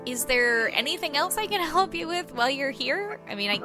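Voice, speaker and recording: A woman's voice: sits high, sing-song, fairly quick. A woman playing a friendly, secretive small-town reporter who rambles through her stories in an improvised audio drama. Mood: friendly, welcoming. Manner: chatty, rambling storyteller. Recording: music bed behind the voice.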